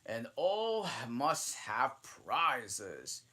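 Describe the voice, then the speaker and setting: A man voicing a solemn, self-important male dodo bird in a storybook reading, speaking gravely and stumbling over his words. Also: mid-range voice, wildly swooping pitch, drawn-out words, sharp tone, voice pushed up, exaggerated voice.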